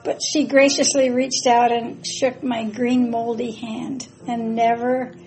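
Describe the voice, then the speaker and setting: An older woman recounting little memories from her years overseas, amused and easygoing. Her voice sits slightly high, with quiet music behind her. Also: level tone, slow, low-quality recording. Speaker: former missionary wife. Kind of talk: reminiscing personal anecdotes.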